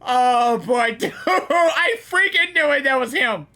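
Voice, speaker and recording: Excitable, high-pitched woman reacting to a game. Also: takes it slowly, soft background music. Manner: exclamatory, repetitive, slangy. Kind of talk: excited live reaction commentary.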